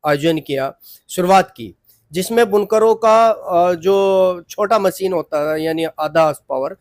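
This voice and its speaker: A man's voice: high voice, slow, no echo, studio quality. A man speaking as a community advocate in an interview, earnest and emphatic, repeating phrases to press his point.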